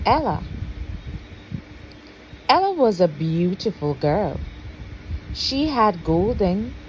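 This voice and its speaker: A woman reading a storybook aloud as a parent would at bedtime, expressive and deliberate. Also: fairly low pitch, a little hiss or hum, rough recording.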